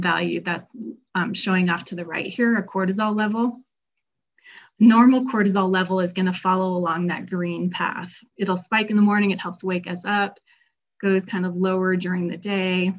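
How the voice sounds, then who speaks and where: A middle-aged woman who works as a health practitioner, giving a conversational talk to patients about stress while losing her voice. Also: on the low side. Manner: conversational, acts out patient quotes. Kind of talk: informal health presentation. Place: Colorado. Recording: dull lo-fi recording.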